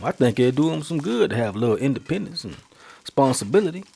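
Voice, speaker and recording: A man's voice: medium pitch, very animated, fast talker, vocal fry, warm tone, voice pushed up. A man voicing a proud, hardworking middle-aged father who talks in a folksy, boastful drawl. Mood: reassuring.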